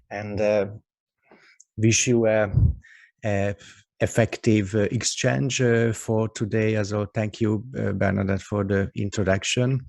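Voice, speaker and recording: A man with a Hungarian accent giving a webinar presentation over a video call, halting and full of "uh" and "also" fillers. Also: lowish voice, creaky, darkish tone, no room sound. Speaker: guest expert presenter. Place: office with company logo behind him.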